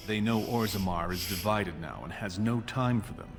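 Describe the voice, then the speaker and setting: A low-voiced, ambitious dwarf prince played by a man addresses his listener with formal, commanding resolve. It is fantasy game dialogue, with music underneath. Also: moderate pace, natural voice. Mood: measured, grave. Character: ambitious, authoritative dwarf prince seeking the throne. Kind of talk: video game character dialogue.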